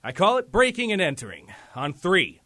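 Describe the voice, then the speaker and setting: A man playing a confident, wry superhero team leader in an audio drama, crisp and commanding. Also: high voice, wildly swooping pitch, moderate pace, background noise. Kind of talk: fan audio drama acting.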